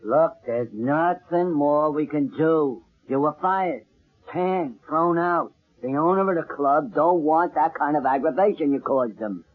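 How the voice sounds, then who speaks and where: A streetwise male talent agent in an old radio mystery, blunt and slangy. His voice is fairly high and raspy, and the broadcast sound is thin and muffled. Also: a little slow. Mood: exasperated, scolding. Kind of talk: old-time radio drama acting.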